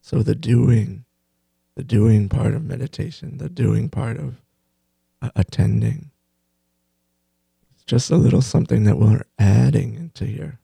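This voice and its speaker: A man leading a guided meditation in a gentle, unhurried way, pausing between short phrases. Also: medium pitch, gravelly, slightly rough, no room sound. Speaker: meditation teacher and host.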